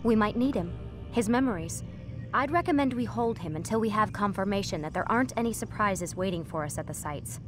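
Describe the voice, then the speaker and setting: Actress as calm woman researcher, persuasive, firm, mid-pitched, game cutscene, music. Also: a little fast, lightly acted. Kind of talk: video game cutscene acting.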